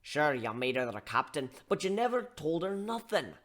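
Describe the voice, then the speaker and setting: A man voicing a gruff, scolding barkeep who gives blunt tough-love lectures in folksy slang in a dramatic story reading. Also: fairly high pitch, sing-song, moderate pace, a bit bright. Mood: blunt, lecturing.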